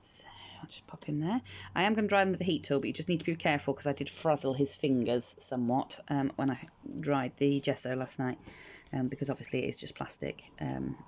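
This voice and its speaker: A British woman sounding croaky in the morning, chatting her way through a craft tutorial with frequent ums and restarts. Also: a bass voice, brisk, a little mellow. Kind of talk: casual step-by-step craft tutorial. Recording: slightly dull lo-fi audio with faint noise.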